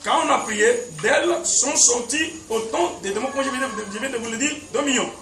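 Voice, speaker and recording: A man's voice: extremely high pitch, fairly quick. A man preaching a teaching sermon as a pastor, with emphatic repetition and frequent chuckles, speaking French, which is not his first language. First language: a language other than French. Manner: emphatic, repeats words, chuckles often. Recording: echoey, distant mic, background noise.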